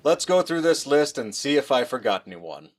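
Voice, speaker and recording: An actor playing a cheerful husband who is wrapping a birthday present, in a radio comedy recreation. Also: male voice, very animated, moderate pace, noisy background, close to an everyday voice. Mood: jovial. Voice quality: chummy, sing-song pitchman. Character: jolly fussy husband planning a surprise party. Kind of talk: 1950s radio comedy acting.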